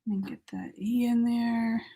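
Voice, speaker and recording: A woman's voice: on the high side, fairly even intonation, normal speed, vocal fry, dark tone, slightly rough. A woman artist with a sore throat and a fading voice, chatting casually and thinking aloud as she paints during a live tutorial. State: sore throat, losing her voice.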